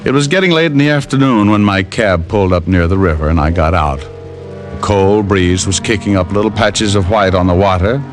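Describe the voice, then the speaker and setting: Low-voiced hard-boiled radio detective played by a man. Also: natural voice. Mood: tense narration. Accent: American. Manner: sardonic, hard-boiled, unhurried. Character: tough wisecracking male private detective, menacing yet casual. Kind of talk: 1940s-50s radio detective drama acting. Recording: old radio broadcast recording, music behind.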